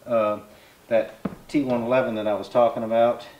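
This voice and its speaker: A man's voice: medium pitch, moderate pace, lo-fi sound. A middle-aged man casually explaining his building work with many fillers, recorded on a camera mic inside an unfinished cabin. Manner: relaxed, folksy, talks while working with many fillers. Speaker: homesteading vlogger. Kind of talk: casual how-to narration while working. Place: unfinished cabin interior with plastic-sheeted walls. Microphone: camera mic from across the room. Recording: roomy, slightly echoey camera audio.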